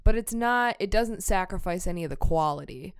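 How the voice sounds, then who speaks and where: A woman's voice: lowish voice, animated, brisk, clear, resonant tone. An American woman hosting a podcast, talking conversationally about a book in an articulate, reflective way. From United States. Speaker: podcast host.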